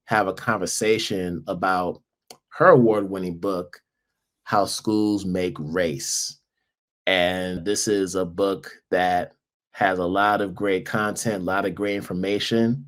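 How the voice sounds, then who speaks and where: A man hosting a podcast interview, upbeat and welcoming as he introduces and questions a guest. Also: lowish voice. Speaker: podcast host. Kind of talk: conversational interview.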